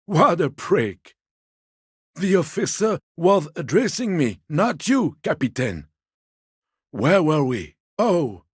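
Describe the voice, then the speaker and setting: A man voicing a genteel, fussy old man in a video game, a husky voice and a polite, wheedling, quietly petty manner. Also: medium pitch, dry recording, studio quality, full character voice. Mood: peeved, sardonic, then modest, chatty. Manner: polite, wheedling, theatrically indignant. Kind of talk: video game character dialogue.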